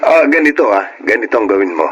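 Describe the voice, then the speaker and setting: A man's voice: fairly low pitch, sing-song, fast talker, a bit bright, breathy edge, full character voice, poor audio. An actor playing a widowed young father who speaks tenderly and laughs nervously, narrating his own story in a radio drama.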